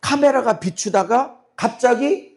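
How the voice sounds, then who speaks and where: A middle-aged male pastor with a high-pitched voice, preaching to his congregation. His voice has gone hoarse and cracks from long talking. He keeps throwing questions at the listeners and talks in a blunt, forceful way. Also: lively intonation, measured pace. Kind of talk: sermon.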